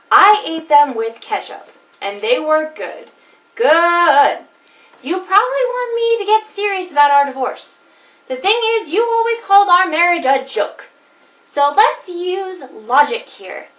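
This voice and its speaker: A woman's voice: high-pitched, very animated, measured pace, bright, forceful tone, echoey room, far from the mic, heavily acted, low-quality recording. A woman performing a comedic monologue as a jilted wife, wry and theatrical, caught between laughing and crying.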